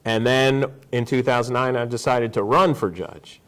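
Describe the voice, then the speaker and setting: A man's voice: medium pitch, fairly even intonation, moderate pace, fairly bright tone. A man in his forties speaking as a guest on a casual talk show, telling stories in a joking way. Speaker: guest, district judge.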